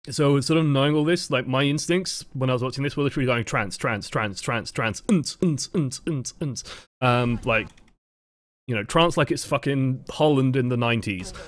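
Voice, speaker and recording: Casual young male coach, mid-pitch voice, unhurried, chatty, a bit sweary. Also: no echo. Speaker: game coach reviewing footage. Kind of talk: casual gameplay commentary.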